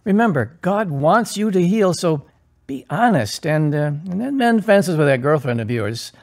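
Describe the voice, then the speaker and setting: A man acting as an earnest parish priest in an audio drama. His voice sits a little high for a man, and he counsels calmly, in measured, pastoral, instructive phrases. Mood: earnest, encouraging.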